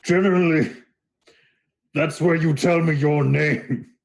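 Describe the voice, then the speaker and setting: A man acts out a huge, imposing Minotaur blacksmith for a tabletop game. The beast is gruff and skeptical, lifted above the player's usual pitch. He drags his words out slowly with heavy sarcasm. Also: steady tone. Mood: gruffly friendly. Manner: slow, drawn-out, sarcastic delivery.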